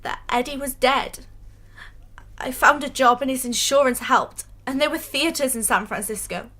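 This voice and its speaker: A woman's voice: high-pitched, normal speed, bright, forceful tone. A woman acting in a radio drama as a shy, humble young female fan who speaks hesitantly. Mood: wistful, earnest. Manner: hesitant, stammering.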